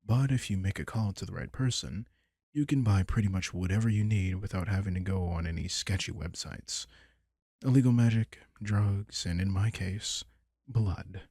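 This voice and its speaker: Male actor as vampire king, low husky voice, casual and soft-spoken, protective, dryly teasing, close dry sound, comfort audio roleplay. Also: no echo, exaggerated voice.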